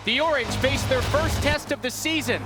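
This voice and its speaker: A man's voice: very high voice, normal speed, music in the background. A man in his twenties working as a sports broadcaster, hosting and calling play-by-play. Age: 20s.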